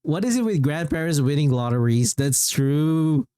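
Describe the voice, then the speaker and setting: Mellow male game streamer, lively pitch. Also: normal speed, clean tone, no echo. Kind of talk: casual livestream chat, reacting to gameplay and viewers.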